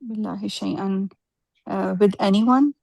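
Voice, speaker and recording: A woman's voice: medium pitch, fairly even intonation, quick pace, vocal fry, darkish tone, no echo, poor audio. A woman studying in a lesson, reading aloud and translating tentatively as she sounds out the words. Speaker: student. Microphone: online video call.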